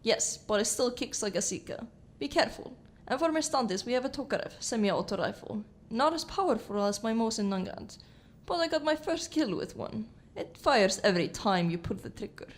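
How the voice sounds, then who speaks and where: A woman's voice: a little high, lively intonation, normal speed. A woman playing a cool, commanding Soviet sniper lieutenant in a radio drama. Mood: brisk.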